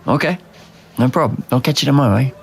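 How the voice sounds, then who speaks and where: A man's voice: medium pitch, fast, creaky, slight background noise, natural voice. A man playing a weary, frustrated Australian adult son, speaking in a casual, colloquial way. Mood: friendly, concerned.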